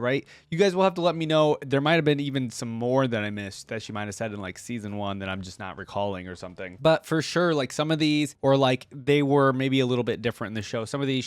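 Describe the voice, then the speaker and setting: A man's voice: medium pitch, smooth, dry recording. Casual man reacting, close dry sound. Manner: informal, slangy, thinking aloud. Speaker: reaction video host. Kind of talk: casual reaction commentary to viewers.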